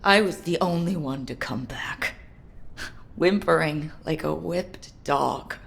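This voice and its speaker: Low, gravelly, guilt-ridden female captain. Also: a bit bright, heavily acted. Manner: brooding, self-reproaching, emotionally strained. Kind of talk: dramatic video game voice acting.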